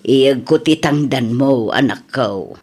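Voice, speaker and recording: A woman with an Ilocano Filipino accent playing a gentle, resigned older mother in a radio drama. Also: very deep voice, takes it slowly, breathy edge, full character voice.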